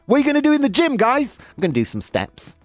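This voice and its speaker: A man's voice: very high voice, fast talker, faint music behind. A man hosting a casual live talk show, jokey and incredulous as he reacts to listener comments. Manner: animated, jokey, incredulous, punctuates talk with "dude".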